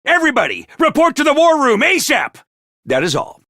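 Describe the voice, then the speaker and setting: A man voicing a small, bossy male robot commander in a video game, theatrical and self-important, prone to shouting. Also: wildly swooping pitch, fairly quick, no room sound, clean studio sound, exaggerated voice. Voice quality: squeaky, over-the-top. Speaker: voice actor. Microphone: dry studio mic.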